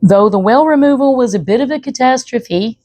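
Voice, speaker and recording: A woman's voice: fairly high pitch, animated, fairly quick, dark tone, no room sound. A woman storyteller reading aloud expressively, with chatty asides to the listener. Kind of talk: expressive read-aloud narration.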